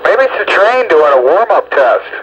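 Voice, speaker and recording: A man with a folksy, joking way of talking chats casually on two-way radio. His voice comes through dull and lo-fi, with clear static noise around it. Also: high voice, very animated, normal speed. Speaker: CB radio operator. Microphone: stock radio microphone.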